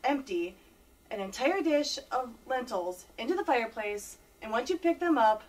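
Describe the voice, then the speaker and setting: Catty, high-voiced wicked fairy-tale stepsister. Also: female voice, lively intonation, moderate pace, darkish tone, distant mic, low-quality recording. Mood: mock-sweet, mean. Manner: exaggerated mock-sweet and mean. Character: catty, preening wicked stepfamily women of Cinderella. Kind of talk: broad comic stage fairy-tale acting.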